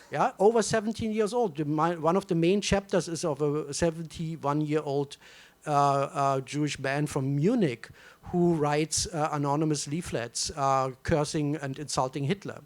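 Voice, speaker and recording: Brief: German-accented male academic, slightly high voice, halting and thoughtful. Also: darkish tone. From East Germany. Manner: hesitant, many fillers and restarts. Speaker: panelist, history professor. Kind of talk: panel discussion.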